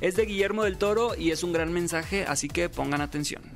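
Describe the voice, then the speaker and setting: A man's voice: high voice, brisk, over music. A man from Sinaloa with a Sinaloan accent, hosting a radio show with enthusiastic, exclamatory chat. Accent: Sinaloan Spanish. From Sinaloa, Mexico. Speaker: radio host.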